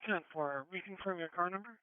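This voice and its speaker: A man's voice: high voice, fairly quick, clear, resonant tone, no echo. A man working as an emergency dispatcher, giving radio dispatch calls over a two-way scanner channel. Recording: narrow, tinny scanner radio.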